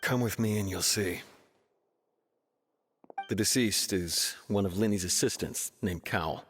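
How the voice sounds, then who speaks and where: A man voicing a blunt, world-weary investigator in a video game, speaking in a measured, straightforward way. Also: lowish voice, in a large hall, faint music behind, close to an everyday voice. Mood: serious, frank.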